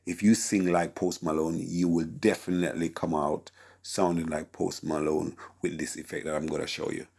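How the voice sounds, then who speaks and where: A man with a deep voice teaching a casual tutorial on mixing vocals, with a relaxed, filler-heavy delivery full of "okay?". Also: creaky. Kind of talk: casual screen-recorded tutorial.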